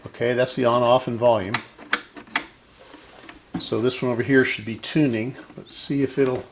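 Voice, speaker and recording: A man hosts a hands-on repair video in a creaky, gravelly voice at an average pitch. He talks casually, musing to himself as he works. A faint layer of noise sits behind him. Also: fairly even intonation, moderate pace. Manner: musing, thinking aloud. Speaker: host of a vintage radio repair video. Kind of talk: casual hands-on narration while working.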